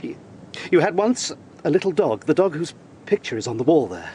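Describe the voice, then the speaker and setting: An actor playing an earnest young lawyer in a period audio drama, formal and dramatic. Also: male voice, fairly high pitch, big pitch swings, a little fast, warm tone, slight background noise. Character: earnest young eighteenth-century English lawyer.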